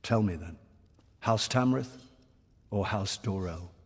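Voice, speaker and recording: Fantasy game high king: low, crisp voice, weary and wry, measured delivery in a reverberant hall. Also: full character voice. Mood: wry, expectant. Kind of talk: fantasy video game voice acting.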